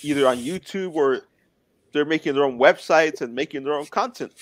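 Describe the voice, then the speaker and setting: A man's voice: a little high, normal speed, no echo. A man in his twenties, a guest in a casual interview, talking in an earnest, plainspoken way. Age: 20s.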